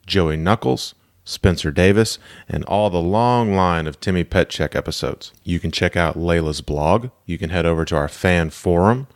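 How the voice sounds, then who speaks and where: A man, sounding nasal from allergies, chatting and asking questions as a podcast co-host. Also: low voice. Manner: chatty, inquisitive. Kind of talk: casual podcast chat.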